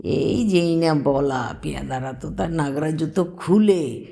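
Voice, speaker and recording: A woman's voice: sits low, unhurried, vocal fry, mellow. A woman storyteller narrating a folktale for children expressively, in colloquial language with repeated phrases.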